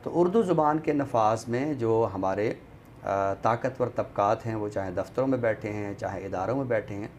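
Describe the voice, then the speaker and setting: A man with a Pakistani accent speaks as a guest in a thoughtful discussion, formal and deliberate, pausing often. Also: lowish voice, darkish tone, slight background noise. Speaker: guest commentator on a literary show.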